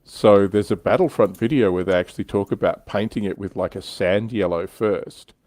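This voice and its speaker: A man with a fairly low voice, recorded close and dry with little room sound. He hosts a casual hobby livestream, chatting with a wry, teasing edge. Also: moderate pace, a little mellow, no room sound. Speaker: livestream host.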